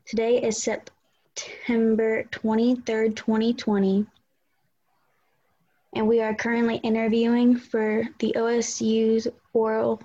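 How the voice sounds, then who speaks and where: A woman's voice: a little high, dark tone, dull audio. A young woman conducting a student interview, reading polite, scripted questions.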